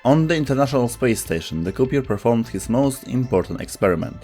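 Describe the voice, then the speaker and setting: A man's voice: medium pitch, lively intonation, brisk, mellow. A man reading scripted explainer narration as the narrator of a video. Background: background music.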